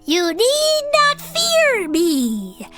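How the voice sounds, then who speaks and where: A woman voicing a creepy, needy vegetable-man cryptid with slow, stilted speech in a comic audio fiction show. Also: extremely high pitch, wildly swooping pitch, fairly bright tone, faint music behind, pitched above the performer's own voice, full character voice. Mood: eerie, pleading. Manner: slow, stilted, foreign-sounding phrasing.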